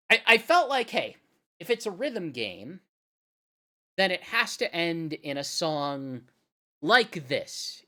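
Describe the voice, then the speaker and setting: A woman hosting a live music stream, talking casually and haltingly as the show's presenter. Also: a bass voice, a bit bright. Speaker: radio show host.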